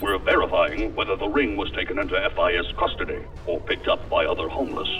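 An actor playing a dutiful operative officer who gives formal, businesslike reports over a radio link in a science fiction audio drama. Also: male voice, low voice, animated, fast talker. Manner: formal, businesslike military briefing. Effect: radio transmission filter. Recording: dull radio link, distant, faint music.

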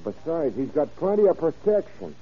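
An actor playing a shady underworld tipster in 1930s radio crime drama, slangy, furtive and insistent. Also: male voice, on the high side, very animated, normal speed, slightly rough. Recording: muffled, lo-fi old radio recording.

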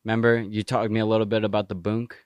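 Smooth, low-voiced casual podcast host. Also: male voice, level tone, rapid-fire, no echo, muffled recording. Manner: easygoing, curious questioner.

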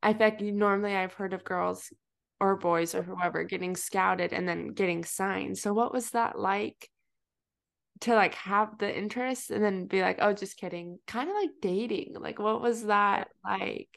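Young female podcast host, casual and chatty, creaky low-end fry, mid-range pitch. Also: moderate pace, a bit bright. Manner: chatty, many fillers and restarts, laughs often. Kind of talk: casual interview chat.

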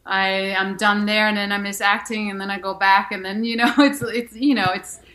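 A woman's voice: mid-range voice, fairly even intonation, normal speed, a bit bright, poor audio. A woman from Denmark, an actress and musician, answering interview questions candidly with many restarts and fillers.

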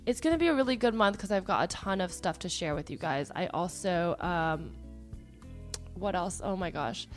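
A woman hosting a podcast in a casual, chatty way, her voice hoarse and partly lost after travel, with many fillers. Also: on the low side, animated, normal speed, soft background music. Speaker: podcast host.

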